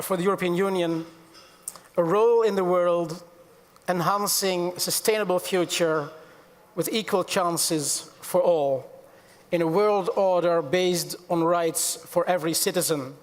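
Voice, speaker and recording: A middle-aged man moderating a conference panel, formal with quick self-corrections and light asides. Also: high-pitched, in a large hall. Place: large conference auditorium.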